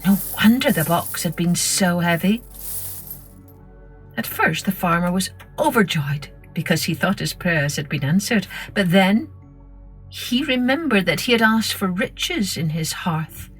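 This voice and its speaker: Female narrator for kids' story podcast, lowish register, measured expressive read, music underneath. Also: husky. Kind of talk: scripted children's story narration.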